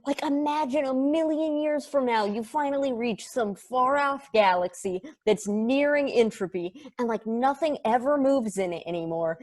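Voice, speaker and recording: A woman's voice: high voice, a little mellow. Brash, slangy space probe Juice played by a woman. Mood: mocking, animated. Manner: slangy, sweary, casual. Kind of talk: stage play table read.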